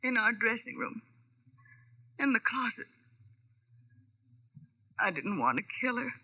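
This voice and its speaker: An actress playing a young nightclub performer confessing to murder with melodramatic feeling in a 1940s radio drama. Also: female voice, a little high, big pitch swings, slow pace, husky, full character voice. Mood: confessing, subdued. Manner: emotional, melodramatic. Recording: dull lo-fi old radio recording.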